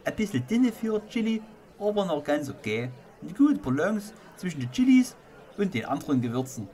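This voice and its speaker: A man's voice: high-pitched, very animated, moderate pace, mellow. A man playing fussy, pompous chili judges who appraise each dish in a comic story. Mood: approving. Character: fussy, appreciative male chili connoisseur judges.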